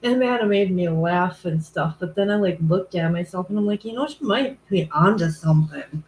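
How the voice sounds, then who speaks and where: A woman's voice: on the low side, expressive, normal speed, darkish tone, slight background noise, poor audio. A woman tarot reader chatting casually on a livestream, rambling and correcting herself often, with a commanding voice. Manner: rambling, self-correcting, frequent "like" and "um".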